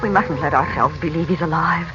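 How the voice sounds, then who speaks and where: A woman's voice: sits low, a little fast, a bit bright, soft background music. An actress playing a worried, earnest middle-aged mother in 1940s radio drama style. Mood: anxious, pleading. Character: anxious mother, sister of the hero. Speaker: actress in a radio adventure serial. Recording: muffled, noisy vintage 1940s radio.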